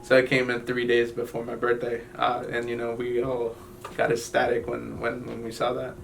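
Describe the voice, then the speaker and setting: A young man from Guatemala telling his family's story in an interview, halting, with many fillers and restarts. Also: medium pitch, completely flat delivery, vocal fry, distant mic, a little hiss or hum, rough recording. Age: young adult.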